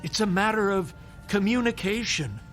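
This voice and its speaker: A sardonic, long-suffering older physician for radio drama. His voice is high for a man, and his phrasing is eloquent and drily patient, with faint music underneath. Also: expressive, normal speed, fairly bright tone. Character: wry, urbane, long-suffering older male doctor.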